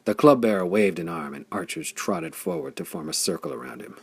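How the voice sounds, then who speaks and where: A man narrating a pulp adventure audiobook in a measured, theatrical storytelling style. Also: low voice, big pitch swings, creaky, breathy edge.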